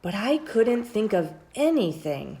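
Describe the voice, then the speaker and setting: A woman reads a children's story aloud in a measured, expressive storytelling style, drawing out her phrases. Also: medium pitch, a little hiss or hum, low-quality recording. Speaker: storybook reader.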